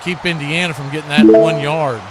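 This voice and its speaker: A man's voice: fairly high pitch, fairly even intonation, normal speed, music in the background. An American man doing live football play-by-play and chat as the host of a stream, with a folksy, conversational manner.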